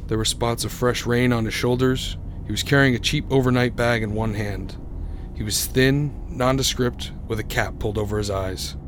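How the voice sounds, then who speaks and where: A man's voice: mid-range voice, slight background noise, natural voice. An actor playing a haunted young motorist who tells his eerie story in a radio drama, grave and suspenseful. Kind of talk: radio drama narration and acting.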